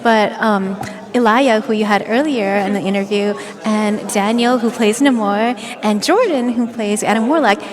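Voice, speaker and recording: A woman's voice: mid-range voice, moderate pace, few pauses, over music. A woman from Japan, a voice actor guest, chatting in a bubbly way with frequent laughs. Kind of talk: casual interview chat. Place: event venue with crowd. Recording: loud crowd behind.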